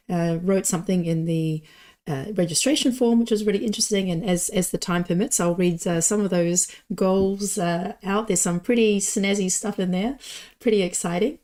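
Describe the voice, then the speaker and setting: A woman hosting a live online puzzle contest, husky and a bit hoarse from a cold, chatty with frequent ums and light laughs. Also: medium pitch, warm tone. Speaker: host of an online puzzle competition. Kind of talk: casual live-stream hosting.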